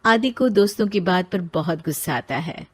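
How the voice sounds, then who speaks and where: A woman's voice: fairly low pitch, expressive, normal speed. A woman narrating a scripted story.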